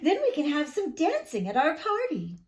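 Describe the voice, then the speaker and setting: Woman reading as a kindly, expressive storybook teacher. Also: high-pitched, moderate pace. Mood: cheerful, inviting. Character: Madame Rosa, kindly woman ballet teacher. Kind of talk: children's storybook read-aloud.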